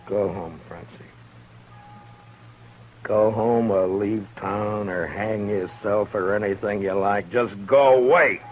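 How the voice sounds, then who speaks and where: A stern frontier marshal. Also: male voice, on the low side, slow, soft background music. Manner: firm, grave, commanding. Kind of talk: 1950s radio acting. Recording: old radio recording with crowd.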